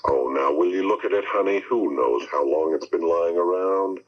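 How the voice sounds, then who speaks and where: An actor playing a moody, short-tempered husband with a brusque, irritable manner in a 1970s radio drama. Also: male voice, sits low, moderate pace. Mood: dismissive, placating. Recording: dull lo-fi 1979 radio broadcast.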